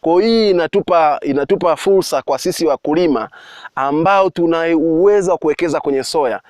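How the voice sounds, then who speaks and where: A man's voice: on the high side, brisk, darkish tone, no echo, studio quality. A man from Tanzania speaking for a farm company in a documentary, earnest and persuasive, with small restarts. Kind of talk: documentary address.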